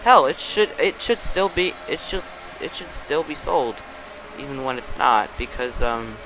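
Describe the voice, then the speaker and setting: A man's voice: medium pitch, lively intonation, a little slow, poor audio. A young man hosting a casual car review of a game vehicle, rambling with many restarts and fillers. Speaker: car review channel host.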